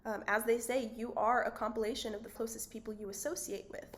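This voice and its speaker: A woman hosting her own channel in a casual, chatty vlog, her voice raspy and hoarse, with frequent fillers and little laughs. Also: fairly high pitch, steady tone, fast, a little hiss or hum. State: hoarse, voice cutting out. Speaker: channel host. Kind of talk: casual spiritual vlog talk.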